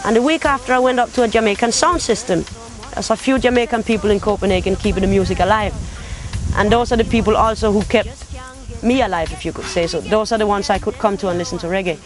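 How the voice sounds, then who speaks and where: A woman's voice: mid-range voice, quick pace. Young woman with patois turns. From Denmark. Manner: talkative storytelling with patois phrasing. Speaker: competition finalist. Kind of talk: casual interview.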